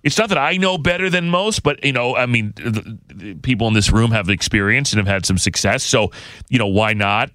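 A man's voice: mid-range voice, expressive, brisk, pushed and bright, no echo. A man hosting a radio show's podcast in casual, unscripted chat, rambling and often interrupting himself. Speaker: radio show host.

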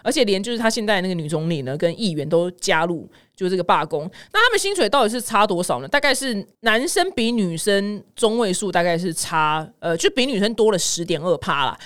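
A woman podcast host with a Taiwanese accent talks at a quick clip in a mid-pitched voice. She is chatty and playful, giving casual, comedic commentary on the news. She states her opinions freely and slips into personal stories as she goes. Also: animated, fairly bright tone.

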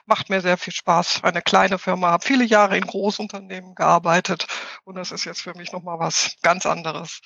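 A woman in her fifties with a Ruhr German accent introduces herself on a panel, talking in a reflective, loosely phrased way with pauses to think. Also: fairly low pitch, little pitch movement, gravelly, fairly bright tone, dry recording, lo-fi sound. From Ruhr area, Germany. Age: 50s. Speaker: Scrum trainer and panelist.

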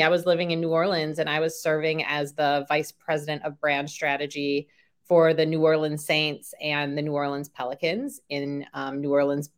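A middle-aged woman with a low voice, interviewed as a sports marketing executive. She answers in a polished, friendly, professional way.

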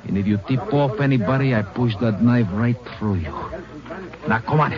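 A man's voice: normal speed, crowd noise, lightly acted. A man playing a menacing knife-wielding robber. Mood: threatening. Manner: threatening, low hissed commands. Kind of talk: 1950s radio acting. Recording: muffled old radio broadcast.

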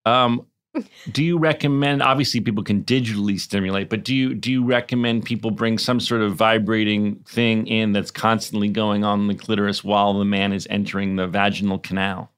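A man's voice: fairly low pitch, fairly even intonation, fairly quick, fairly bright tone, clean tone. A man hosting a podcast in a casual comedic interview style, joking, teasing and swearing freely. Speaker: podcast host.

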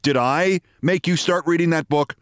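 A man's voice: a little high, normal speed, clean tone, dry recording, studio quality, voice pushed up, natural voice. A man voicing a petulant, henpecked middle-aged husband who stands on his dignity. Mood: stubborn.

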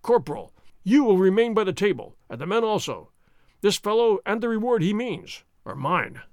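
A man voicing a blustering, boastful soldier sergeant who taunts and sneers loudly in an audiobook reading. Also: high-pitched, sing-song, normal speed, no echo, studio quality, pitched above the performer's own voice.

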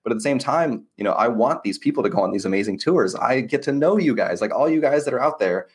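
A man's voice: medium pitch, expressive, quick pace, slightly rough. A man being interviewed as an agency owner, explaining his work in an earnest, casual, conversational way.

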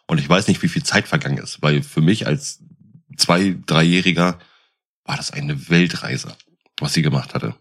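Male, very low and rough-edged. Animated, casual anecdote teller. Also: moderate pace.

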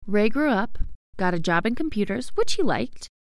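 A woman reading a scripted documentary narration in a measured, reflective way. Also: medium pitch, very animated, dry recording. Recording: faint music underneath.

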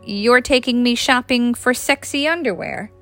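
A woman's voice: on the high side, normal speed, fairly bright tone, soft background music. A woman storyteller voicing a bashful young man, hesitant and awkwardly casual with his old friend. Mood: flustered.

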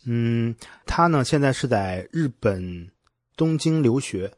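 A man hosting a podcast in a casual, conversational way, pausing now and then as he thinks through his words. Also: medium pitch, lively intonation. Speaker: podcast host. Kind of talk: casual podcast hosting, reading listener messages.

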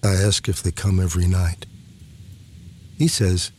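A man reading a literary poem aloud with measured, deliberate phrasing. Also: deep voice, steady tone, a little mellow, a little hiss or hum. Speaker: poetry reader.

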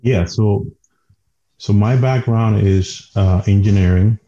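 A man's voice: fairly low pitch, takes it slowly, warm tone, highs cut off. A man from the Dominican Republic, a native Spanish speaker with a Dominican accent, telling his story casually as a podcast guest and often restarting phrases.

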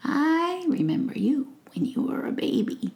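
A woman voicing a tender, ancient witch with a squeaky old voice, in a storybook reading. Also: big pitch swings, measured pace, darkish tone, whispered, full character voice. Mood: amused.

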